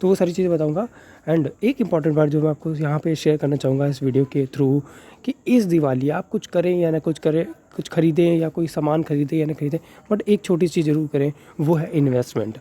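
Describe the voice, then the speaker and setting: A man's voice: on the high side, a little fast, mellow. A man with a sore throat, casually explaining things to viewers in a chatty way, mixing English into his talk with many fillers. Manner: Hindi-English mix, chatty, frequent fillers. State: sore throat, speaking softly. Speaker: crypto video creator.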